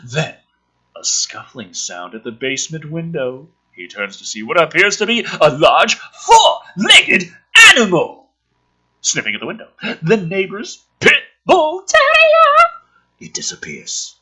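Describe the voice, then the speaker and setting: A man's voice: fairly high pitch, huge pitch swings, a little slow, sharp tone, slightly rough, low-quality recording. A man sarcastically reading through a film script and commenting on it in a profane, mocking, theatrical way. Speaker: host of a script review show.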